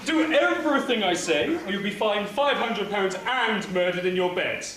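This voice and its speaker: A gleefully exploitative panto villain, high and swooping in pitch. He is mock-polite and taunting, projecting theatrically to the house. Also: expressive, normal speed, bright, forceful tone. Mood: gleeful menace. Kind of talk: theatrical pantomime stage acting. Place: theatre or hall stage, live performance. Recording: echoey hall stage recording, faint noise.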